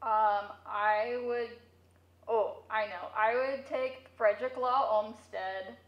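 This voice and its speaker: A woman's voice: on the high side, fairly even intonation, slow. Echoey, muffled woman chatting through a megaphone. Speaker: museum curator, interview guest. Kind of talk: casual interview chat. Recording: echoey room, dull megaphone sound.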